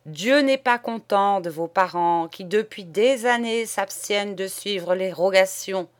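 A woman's voice: mid-range voice, unhurried, close to an everyday voice. A woman voicing an agitated old male parish priest who preaches hellfire and sputters with outrage. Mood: preaching.

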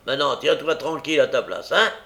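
A man voicing a brutal, bullying brigand in a big gruff voice, barking threats and oaths in a story reading. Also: mid-range voice, expressive, a little fast, sharp tone, pitched above the performer's own voice. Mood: furious.

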